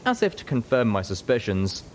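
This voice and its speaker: A man's voice: medium pitch, big pitch swings, normal speed, slight background noise. A man reading a scripted first-person story as a dramatic narrator.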